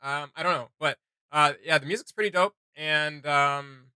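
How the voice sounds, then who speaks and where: A man hosting a video game reaction show, chatting casually in a rambling, excitable, slangy way. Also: a little high, normal speed, smooth, dry recording.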